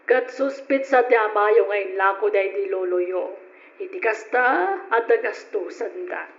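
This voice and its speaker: A woman's voice: medium pitch, animated, a little slow. Radio-drama mother, middle-aged, motherly, in a roomy lo-fi recording. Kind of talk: 1940s-style radio drama acting. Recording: echoey, dull old radio recording.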